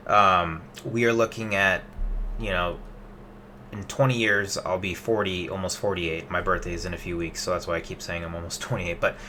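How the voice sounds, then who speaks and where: A man's voice: sits low, fairly even intonation, moderate pace, bright, forceful tone, a little hiss or hum. A man in his late twenties giving a loose, rambling investing update to his webcam, with plenty of fillers and restarts. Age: 20s. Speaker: investing vlogger.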